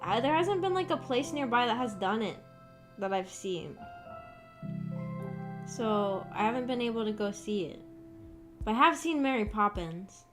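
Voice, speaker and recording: A woman's voice: on the high side, lively intonation, a little slow, long pauses. A woman livestream host chatting casually with her viewers in a rambling, informal way. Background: background music.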